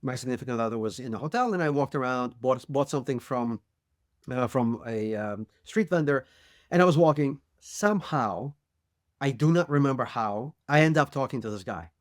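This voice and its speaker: A man co-hosts a podcast, winding through a personal story with self-amused asides. His voice sits at a middle pitch and sounds dry and close, with no room around it. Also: lively intonation, moderate pace, dry recording. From Ukraine. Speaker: podcast co-host. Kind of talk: casual interview, personal story.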